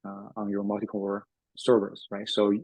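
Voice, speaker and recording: A man's voice: on the low side, monotone, normal speed, gravelly, darkish tone, dry recording, poor audio. A man hosting a tech webinar as a developer advocate, speaking casually with lots of fillers and restarts. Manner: hesitant, many fillers and restarts.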